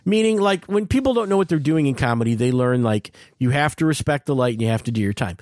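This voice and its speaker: A man's voice: medium pitch, lively intonation, fast, a little mellow, no echo, studio quality. A middle-aged man hosting a podcast, talking in a rambling, explanatory way about stand-up comedy. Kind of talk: casual chat. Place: podcast studio. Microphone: studio mic.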